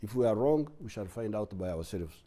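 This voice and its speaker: A man giving blunt interview answers in a halting, emphatic way, repeating his words, with a husky voice. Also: lowish voice, lively intonation, dark tone.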